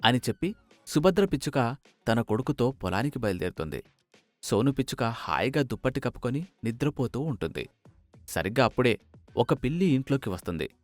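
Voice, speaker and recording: Lively male storybook narrator, dry sound. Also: medium pitch, a little fast, no echo, faint music behind. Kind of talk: storybook narration for a children's bird fable.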